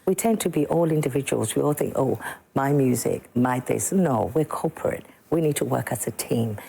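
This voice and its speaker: A woman's voice: extremely low pitch, expressive, normal speed, creaky, dark tone, breathy edge. A woman from Sekondi-Takoradi in Ghana, a musician guest on a morning TV studio show, who talks emphatically and repeats words for stress. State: a bit slow this morning.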